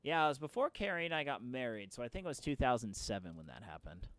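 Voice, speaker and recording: A man in his thirties with a voice higher than most men's, chatting casually while livestreaming a game and telling stories with lots of laughter. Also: sing-song, quick pace, a bit bright, no room sound. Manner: laughing storyteller who acts out quoted voices. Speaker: streamer.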